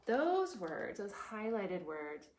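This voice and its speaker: A woman's voice: medium pitch, very animated, slow. A woman hosting a preschool storytime, reading aloud and chatting expressively, with lots of questions for her young listeners. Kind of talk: children's read-aloud with chatty explanations. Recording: echoey room with background noise.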